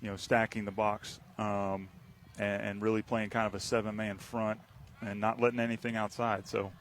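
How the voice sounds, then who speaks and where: A man calling a high school football game on local radio, chatty and rambling, teasing his broadcast partner. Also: level tone, normal speed, slight background noise. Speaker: play-by-play announcer.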